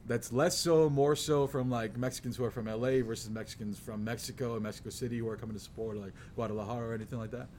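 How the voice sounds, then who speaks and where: A man from the American East Coast hosts a casual chat over a noticeable layer of noise. His pitch sits in the middle, and he talks in an earnest, slangy way as he asks questions. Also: fairly even intonation, fast, hardly any pauses, a little mellow. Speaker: podcast host. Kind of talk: casual conversational interviewing.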